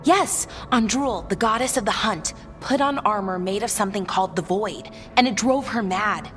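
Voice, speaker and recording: A woman's voice: mid-range voice, moderate pace, a bit bright, long reverb tail, faint music behind, lightly acted. A woman playing an earnest, thoughtful elven scholar who explains things in natural fantasy game dialogue. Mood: earnest, explaining.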